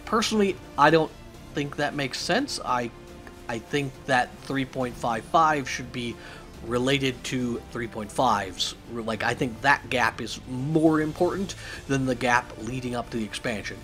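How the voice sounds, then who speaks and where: A man hosting a channel, recapping game news with opinions and many fillers, his voice raspy and hoarse from a cough. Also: mid-range voice, measured pace, fairly bright tone, soft background music. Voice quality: raspy, strained. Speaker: YouTube channel host.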